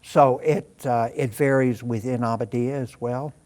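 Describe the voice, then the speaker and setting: A man's voice: mid-range voice, fairly even intonation, a little slow, a little mellow. Courteous male interview host. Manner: courteous, inquisitive. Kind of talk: scripted intro then conversational questions.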